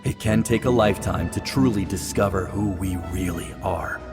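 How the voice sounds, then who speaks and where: A man playing an ancient heroic spirit in a game, solemn and measured, speaking in declamatory lines. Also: low voice, close to an everyday voice. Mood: solemn, reflective. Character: ancient heroic male spirit passing on his name, solemn, noble. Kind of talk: dramatic scripted game acting. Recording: long reverberant hall, music behind. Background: music.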